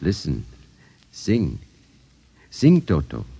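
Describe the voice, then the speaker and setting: Very deep, mysterious old dollmaker. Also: male voice, huge pitch swings, gravelly, mellow, husky, full character voice. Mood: mysterious, proud. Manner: slow theatrical pronouncements, cryptic. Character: mysterious old dollmaker shop proprietor, eerily courteous. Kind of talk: 1950s radio acting. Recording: vintage 1950s radio broadcast, music behind.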